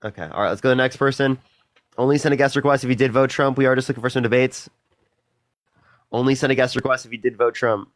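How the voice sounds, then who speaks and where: A man's voice: mid-range voice, fairly even intonation, quick pace, no echo, clean studio sound. A man hosting a live stream, talking casually and thanking viewers over and over between debates with callers. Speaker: livestream host.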